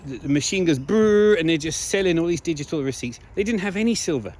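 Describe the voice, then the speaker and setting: Slightly hoarse man vlogging outdoors. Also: fairly high pitch, animated, quick pace. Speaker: video blogger. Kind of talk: casual monologue to viewers.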